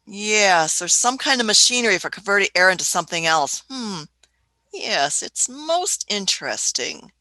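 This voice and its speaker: A woman's voice: mid-range voice, very animated, moderate pace, bright, forceful tone, no echo. A woman playing an eccentric, curious elderly time traveller who mutters and hums while she thinks, in an amateur radio play. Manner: muttering hmms, musing, hesitant restarts.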